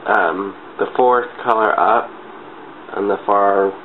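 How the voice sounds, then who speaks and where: A man speaks in a fairly low voice, stuffed up with a cold, over thin phone-like audio with clear background noise. He talks informally, rambling a bit like a vlogger walking viewers through makeup steps. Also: slow. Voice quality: stuffy, congested nose. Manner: informal, giggly, loosely rambling. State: has a cold. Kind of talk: casual chatty makeup tutorial.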